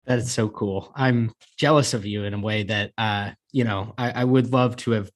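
A man's voice: fairly low pitch, fairly even intonation, moderate pace. A man hosting an interview, asking long, rambling questions and reacting with enthusiasm. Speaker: podcast host, interviewer. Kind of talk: conversational interview.

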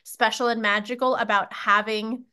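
A woman's voice: a little high, fairly even intonation, normal speed, bright, forceful tone, clean tone. A woman hosting an interview podcast, upbeat and effusive as she welcomes and questions her guest. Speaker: podcast host.

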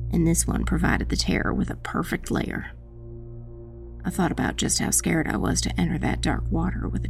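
A woman playing a determined witch who narrates her own dark fantasy audiobook tale in a measured, dramatic, husky and creaky voice. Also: fairly low pitch, heavily acted. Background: music.

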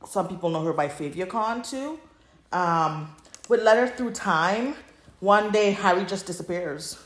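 A woman with a low-pitched voice talks casually, like a podcast co-host retelling a story to a friend. Her delivery is informal and conversational. The recording is roomy, with noticeable echo around her voice. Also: expressive, a little slow. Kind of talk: casual chat, recapping fan fiction.

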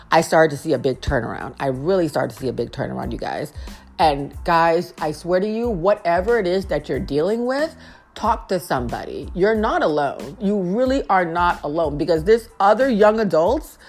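A young woman hosting her own podcast, talking casually and earnestly, with a naturally low-toned voice and a habit of repeating phrases for emphasis. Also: lively intonation, normal speed, darkish tone, soft background music. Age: young adult. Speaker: podcast host. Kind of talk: casual heartfelt monologue.

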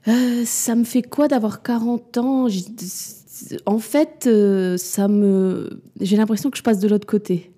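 A woman around forty speaks candidly as an interview guest, pausing often and restarting her thoughts. Her voice is very warm and mellow, sitting at a middle pitch. She sounds reflective rather than performed. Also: steady tone. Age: 40s. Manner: hesitant and reflective, many fillers and restarts. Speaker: podcast guest, mother and business owner.

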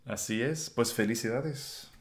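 A man with an American accent hosting a casual podcast in Spanish, relaxed and quick to laugh. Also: medium pitch. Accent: American English. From United States. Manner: relaxed, unhurried, laughs easily. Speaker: podcast host. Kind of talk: casual chat.

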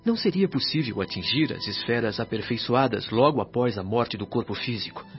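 An actor playing a patient, grave spirit nurse who explains things in a measured, serene, didactic way in a radio drama. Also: male voice, medium pitch, expressive, close to an everyday voice. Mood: patient explaining. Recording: slightly dull band-limited sound with music. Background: music.

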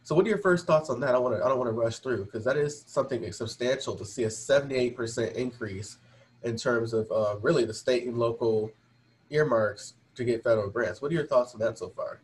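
A man's voice: medium pitch, fairly quick. Informal male show host. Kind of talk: casual interview, sometimes reading an article aloud.

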